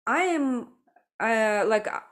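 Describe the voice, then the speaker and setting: A woman from Ukraine hosting a livestream discussion, speaking earnestly and haltingly as she searches for her words. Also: a little high, animated, a bit bright. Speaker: livestream host. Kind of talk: casual discussion.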